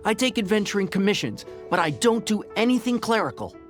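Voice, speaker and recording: A performer playing a proud reserve adventurer in a video game, brisk and self-assured in bargaining. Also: medium pitch, animated, faint music behind, natural voice. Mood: self-important.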